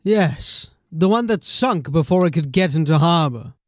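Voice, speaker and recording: An actor playing a stern, exasperated police captain in a fantasy audio drama, sardonic, clipped and authoritative. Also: male voice, on the high side, expressive, unhurried, no room sound. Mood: dry scepticism.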